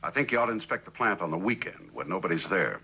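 Stern company boss, middle-aged, forceful bright tone, swooping intonation, vintage TV drama. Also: male voice, low-pitched, animated, quick pace, a little hiss or hum, exaggerated voice. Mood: measured, concerned. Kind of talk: 1960s television drama acting.

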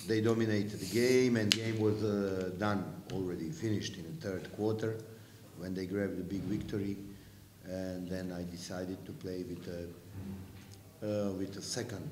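Middle-aged coach, lowish voice, lots of uh pauses, roomy echo. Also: male voice, steady tone, background noise. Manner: hesitant, measured, many "uh" pauses. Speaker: home basketball coach. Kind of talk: post-game press conference answers. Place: press room with sponsor backdrop. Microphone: table mic. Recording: echoey room.

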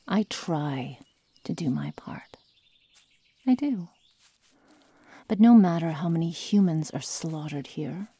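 A woman acts out a cruel werewolf girl in an ASMR roleplay. She talks extremely slowly in a low-pitched, husky whisper that turns gravelly when murmured, taunting and possessive, close to the mic. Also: dark tone, no room sound, full character voice. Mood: contemptuous, menacing. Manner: slow, menacing, taunting, drawn-out phrases. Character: sadistic, predatory young female werewolf, cruel and possessive.

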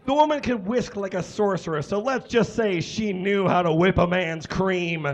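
Male live reader, theatrical comic delivery, voice on the higher side, somewhat muffled recording. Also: normal speed. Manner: theatrical, emphatic, with stumbles over words. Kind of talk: comic dramatic reading of fan fiction to an audience. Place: small indoor stage with curtains.

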